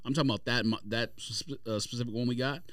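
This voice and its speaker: A man's voice: mid-range voice, fairly even intonation, quick pace, a bit bright, no echo. A man co-hosting a casual podcast, blunt and slangy, recorded in a dry room a little away from the mic. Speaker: podcast co-host. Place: small podcast room or studio.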